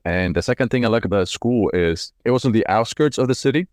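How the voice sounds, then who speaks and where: A man's voice: on the low side, expressive, fast talker, a little mellow, no room sound. A man in his forties from Colombia, telling his life story casually in an interview, reflective and conversational, repeating words as he goes. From Cali, Colombia. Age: 40s. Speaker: company CEO being interviewed.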